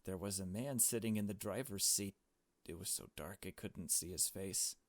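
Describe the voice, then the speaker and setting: Lowish-voiced man narrating a visual novel. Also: normal speed, dry recording. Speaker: gamer reading a visual novel aloud. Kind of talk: let's play narration with casual reactions.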